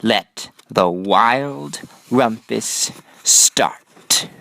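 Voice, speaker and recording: A man's voice: lowish voice, extremely slow, gravelly, pushed and bright, no room sound, voice pushed down, exaggerated voice. A man voicing a mischievous, bossy little boy in a storybook, with commanding, defiant exclamations.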